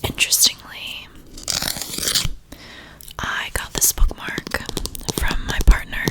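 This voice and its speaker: A woman speaking in an unvoiced, breathy whisper in an ASMR style, gently unhurried and deliberate. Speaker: ASMR creator and poetry reader.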